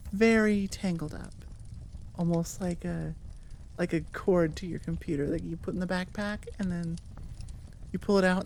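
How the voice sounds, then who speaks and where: A woman's voice: lowish voice, a little mellow, a little hiss or hum. A woman giving a casual, rambling outro as host of her audio channel, self-deprecating and wandering off on tangents at the microphone. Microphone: sitting at a computer microphone, recording into audio software.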